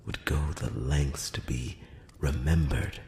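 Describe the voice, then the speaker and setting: Man as an ancient elf emissary, very deep, hushed. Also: fairly even intonation, echoey room, big-room sound, a little hiss or hum, heavily acted. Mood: fascinated, musing. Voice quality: very low, soft, often slipping into whisper. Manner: slow, hushed, measured, with formal courteous phrasing. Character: ancient, courtly, slyly condescending male elf emissary. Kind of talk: close-up whispered ASMR roleplay monologue. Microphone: close-up microphone.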